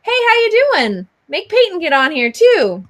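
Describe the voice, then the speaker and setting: A woman's voice: very high voice, huge pitch swings, moderate pace, fairly bright tone. A woman co-hosting a live show in a casual, chatty, teasing way. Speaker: co-host of a business live show. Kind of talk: casual chat. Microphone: live video call.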